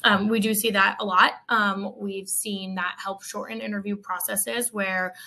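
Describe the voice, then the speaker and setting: A woman's voice: medium pitch, level tone, unhurried, vocal fry, pushed and bright. A woman speaking conversationally as a recruiter guest, offering practical advice.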